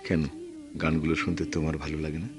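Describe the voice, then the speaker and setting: Deep-voiced brooding war veteran. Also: male voice, completely flat delivery, over music. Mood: warm, earnest. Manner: earnest, halting, reflective. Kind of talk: Bengali radio drama acting.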